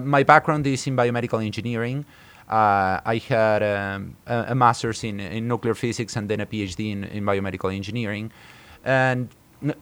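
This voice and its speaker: Halting man from Argentina in an interview. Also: medium pitch, smooth. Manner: halting, hesitant phrasing with repeated words. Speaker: startup co-founder being interviewed. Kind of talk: interview answers.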